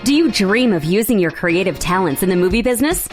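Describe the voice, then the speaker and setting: A woman's voice: medium pitch, lively intonation, quick pace, faint music behind. A woman with an American accent reading a scripted radio advertisement. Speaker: commercial announcer.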